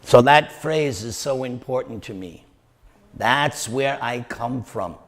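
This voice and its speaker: A man's voice: mid-range voice, slow pace, fairly bright tone. A man with a New York accent giving a devotional lecture to a congregation, stressing and repeating key phrases and putting questions to his listeners. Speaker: preacher. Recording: long reverb of a hall.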